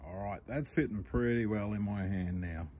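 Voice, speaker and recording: A middle-aged Australian man, a knife maker, explaining his work step by step in a relaxed, practical way while he works at the bench. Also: fairly low pitch, moderate pace, slight background noise. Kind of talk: workshop tutorial voice-over. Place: home workshop.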